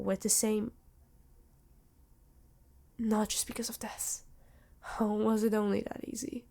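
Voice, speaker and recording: A woman voicing a worried, protective vampire queen in an ASMR roleplay, earnest and measured, with dramatic pauses. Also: mid-range voice, mellow, close to an everyday voice. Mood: solemn, worried. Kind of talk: ASMR roleplay acting addressed to listener.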